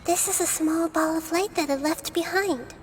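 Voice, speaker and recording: A woman voicing a gentle, curious young girl deity who speaks softly and inquisitively. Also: very high-pitched, normal speed, a little hiss or hum. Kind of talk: video game cutscene dialogue. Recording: game audio with music behind.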